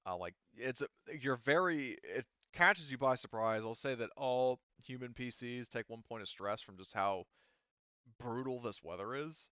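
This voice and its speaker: A man acting as game master for an online tabletop roleplaying session, talking haltingly and often muttering to himself as he sets things up. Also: mid-range voice, animated, bright, forceful tone, clean tone, dry recording, muffled recording.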